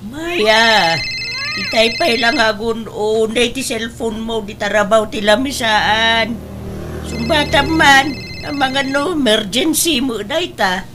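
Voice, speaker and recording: A woman's voice: a little high, slow, noisy background. A woman playing a concerned older mother in a radio drama, speaking in an earnest, urging way. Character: concerned older mother interceding for her daughter. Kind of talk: Ilocano radio drama acting.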